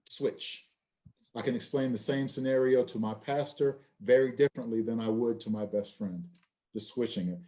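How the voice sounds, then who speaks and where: A man's voice: mid-range voice, steady tone, moderate pace, mellow. A man moderating a panel, thanking speakers and steering the discussion.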